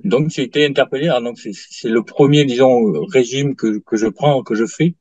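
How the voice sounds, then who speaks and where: A man in his late fifties giving a halting testimonial in an interview, full of fillers and restarts. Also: mid-range voice, a little mellow, husky, dry recording. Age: 50s. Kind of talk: hesitant interview answers. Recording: dull lo-fi dry sound.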